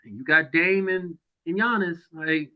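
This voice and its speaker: A man hosting a podcast, chatting casually about basketball in a lively way, laughing often and dropping into low mutters. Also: a little slow. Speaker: podcast host. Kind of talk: casual sports chat. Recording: dull lo-fi sound.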